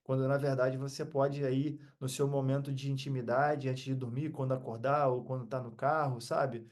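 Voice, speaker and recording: A man's voice: medium pitch, little pitch movement, moderate pace, darkish tone. A man with a Brazilian accent talking casually as a podcast guest, reflective, earnest and motivational in manner.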